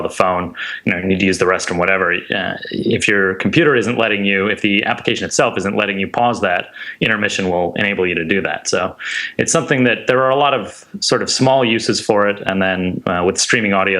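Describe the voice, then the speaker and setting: Deep, bright-voiced man. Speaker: interview guest, software maker. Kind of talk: casual explanatory interview talk. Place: small indoor room on a video call. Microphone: close large desk microphone.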